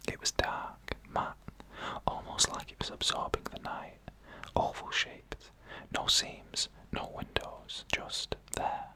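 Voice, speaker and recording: A narrator reading scary stories in a hushed ASMR whisper, with slow, deliberate phrasing and pauses. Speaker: narrator and host of a scary story show.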